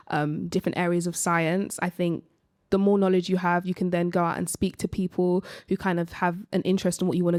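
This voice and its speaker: A woman with a slightly low voice talks casually on a panel. Her intonation is very even and the recording is dry. She speaks informally, with "like" threaded through her sentences. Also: no room sound. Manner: informal, frequent "like".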